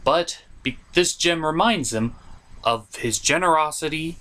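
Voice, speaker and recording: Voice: medium pitch, a little slow, sharp tone. A host of a puppet review show narrating a scripted comic episode recap in a theatrical, mock-dramatic manner.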